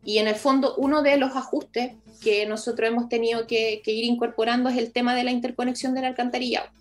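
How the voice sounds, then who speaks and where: A woman with a Chilean accent giving halting, explanatory answers as an official in an informal radio interview, often rephrasing as she goes. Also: fairly high pitch, little pitch movement, faint music behind. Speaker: municipal project official being interviewed.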